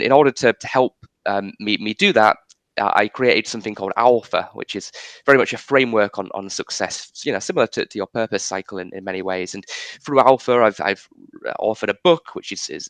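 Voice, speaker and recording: A man's voice: fairly low pitch, fairly bright tone, clear, resonant tone, no echo. A man in his twenties with a slight Yorkshire accent, a podcast guest talking casually and self-assuredly, often restarting and repeating words. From Yorkshire, England. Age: 20s. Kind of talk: casual interview talk.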